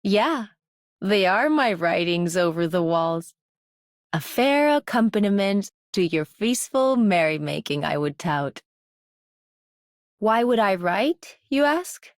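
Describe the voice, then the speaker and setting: An actress playing a grandiloquent woman poet in a game, speaking in measured, archaic, flowery phrases. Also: mid-range voice, lively intonation, dry recording, clean studio sound. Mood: wry, stately.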